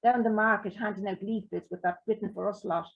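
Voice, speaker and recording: A blunt working-class man who swears freely, read by a woman in her own voice. The voice is husky with a gravelly edge. The sound is a dull, lo-fi live recording. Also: mid-range voice, steady tone, fairly quick. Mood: gruff, blunt. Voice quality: rough, sweary talk.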